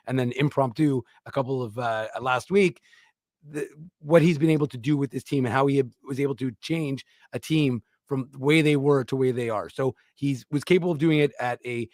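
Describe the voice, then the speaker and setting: A man in the middle of a casual sports-radio discussion, talking at an ordinary pitch. He rambles and restarts his sentences as he works through his thoughts, and the close, dry studio sound leaves no room echo. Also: a little fast, darkish tone. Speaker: radio show co-host. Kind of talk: casual sports talk analysis.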